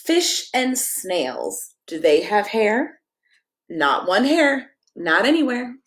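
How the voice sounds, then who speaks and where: A woman's voice: mid-range voice, big pitch swings, slow pace, fairly bright tone. A middle-aged American woman, a pastor, reading to children in a playful, sing-song way and chatting directly with them. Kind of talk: children's story time, expressive read-aloud and casual chat.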